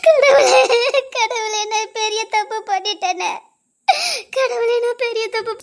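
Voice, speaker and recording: Woman doing a very high, shrill young woman bawling her eyes out, keeps repeating herself. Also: a little slow, vocal fry, heavily acted. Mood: despairing wail. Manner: wailing, repeating herself between sobs. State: crying. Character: remorseful young woman, panicked, sobbing.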